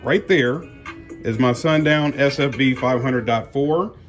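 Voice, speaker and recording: A man's voice: medium pitch, a little slow, soft background music. An American man giving casual unboxing commentary as a car audio vlogger, talking in a folksy, slangy way.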